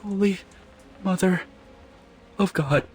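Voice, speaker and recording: A man's voice: high voice, takes it slowly, breathy edge, heavily acted. A man voicing a nervous, timid young film crew member, hesitant, apologetic and whiny, in a video game drama. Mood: panicky.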